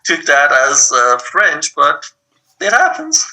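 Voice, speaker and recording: A man from Iran with a Persian accent, teaching an online programming lab and explaining things patiently with frequent pauses. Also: a little high, wildly swooping pitch, bright, forceful tone, low-quality recording. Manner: patient, explains step by step with many ums. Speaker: lab instructor. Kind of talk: live online coding lab.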